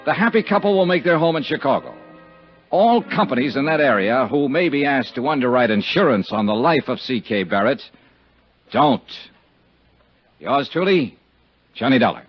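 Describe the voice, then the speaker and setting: An actor playing a hard-boiled, wry insurance investigator with an American accent and a clipped, dry delivery in 1950s radio style. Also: male voice, mid-range voice, lively intonation, normal speed, husky. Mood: wry, businesslike. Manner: clipped, dry, matter-of-fact. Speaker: radio drama lead actor. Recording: muffled 1950s radio recording, music.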